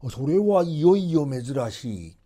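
A man voicing a good-natured, easily amazed old man in a folktale, hearty and exclamatory with drawn-out words. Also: fairly high pitch, big pitch swings, warm tone, heavily acted. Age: older. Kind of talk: storybook character voice.

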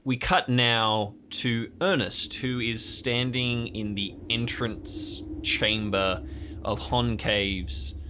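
A man's voice: fairly low pitch, background noise. A man narrating improvised, descriptive scenes as game master of an adventure podcast.

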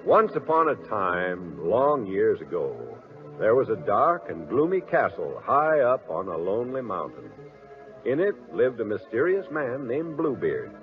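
A man hosts and narrates vintage children's radio in an avuncular, theatrical manner. His pitch swings widely, and the dull, lo-fi recording carries music. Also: very animated, unhurried. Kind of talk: 1940s radio announcing and storytelling.

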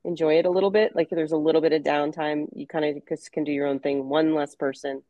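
A woman co-hosting a podcast in casual, chatty conversation, with a low voice and a self-deprecating manner. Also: level tone, fast, mellow, dry recording. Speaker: podcast co-host. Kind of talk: casual interview chat.

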